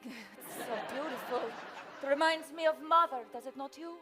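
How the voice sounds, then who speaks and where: A woman's voice: high voice, moderate pace, over music, a crowd in the background, lightly acted. A woman playing a gushing, sheltered young vampire daughter in improvised comedy, with melodramatic, formal phrasing. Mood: adoring. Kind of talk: improvised comic stage acting.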